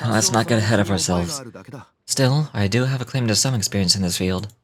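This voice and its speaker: A man's voice: fairly low pitch, moderate pace, crowd noise, close to an everyday voice. Chatty, boastful foreman played by a man. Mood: proud. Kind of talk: fantasy game voice acting.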